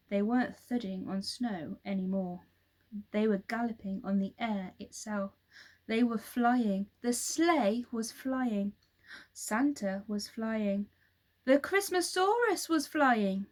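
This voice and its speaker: A woman teacher reading a children's story aloud in a slow, expressive storytelling voice. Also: fairly high pitch.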